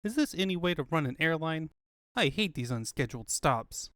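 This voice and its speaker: A man's voice: fairly high pitch, sing-song, normal speed, fairly bright tone, no room sound, studio quality. A man voicing a chipper young male fox sidekick with quippy, innocent questions in a comic book fandub. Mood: worried, quipping.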